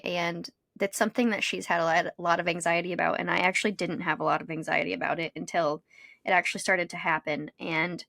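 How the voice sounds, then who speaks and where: A woman's voice: medium pitch, fairly bright tone, no echo. Woman podcast co-host with a dry, close sound. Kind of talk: casual podcast chat.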